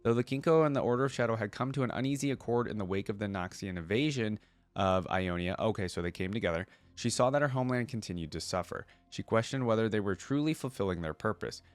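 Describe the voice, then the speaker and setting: A man's voice: lowish voice, quick pace, no room sound. A man hosting a reaction video, reading lore aloud and adding casual commentary as he thinks aloud.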